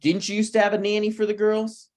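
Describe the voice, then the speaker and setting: A man's voice: high voice, monotone, quick pace, a little mellow, natural voice. A man playing a stubborn, snarky broke writer with dry, needling delivery in a comedy table read. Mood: argumentative.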